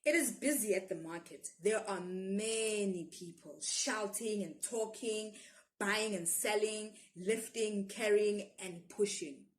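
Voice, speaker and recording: A woman's voice: medium pitch, takes it slowly. A woman with a South African accent reads a children's story expressively as a storyteller.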